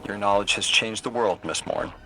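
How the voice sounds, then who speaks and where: A man's voice: on the low side, normal speed, poor audio. An actor playing a polite, curious younger male scholar in a video game, earnest and a little hesitant. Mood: eager. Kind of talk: video game cutscene acting. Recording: loud music underneath. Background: loud cinematic music.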